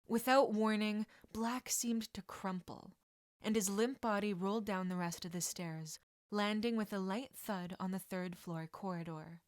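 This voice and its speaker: A woman's voice: mid-range voice, a little slow, no room sound, clean studio sound. A woman reading a scripted piece of fiction aloud as a narrator. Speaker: podfic narrator.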